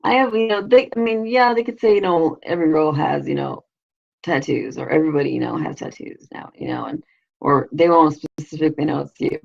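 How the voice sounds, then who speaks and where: A woman with a low, raspy, heavily gravelly voice chats loosely on her own live show, rambling and crude, with "you know" in almost every phrase. The sound is dull and lo-fi. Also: a little fast, darkish tone. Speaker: vlogger, show host. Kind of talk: casual live video chat.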